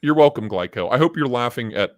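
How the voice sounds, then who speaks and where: A man's voice: medium pitch, expressive, quick pace, no room sound, clean studio sound. A man chatting casually on a livestream through a voice changer, joking and swearing freely. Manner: swears freely, jokey banter.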